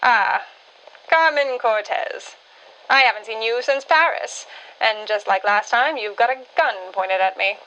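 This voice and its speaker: A woman playing a brisk, secretive undercover wartime agent in a radio drama. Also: on the high side, bright, forceful tone, clear, resonant tone, lo-fi sound. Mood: tense. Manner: urgent, scolding.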